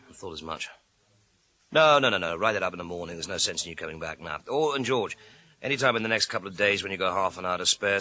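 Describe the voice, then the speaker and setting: An actor playing a dry, sardonic British police detective inspector in a radio thriller, blunt and clipped in manner. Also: male voice, low-pitched, sharp tone. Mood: weary, wry. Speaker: actor in a radio crime drama.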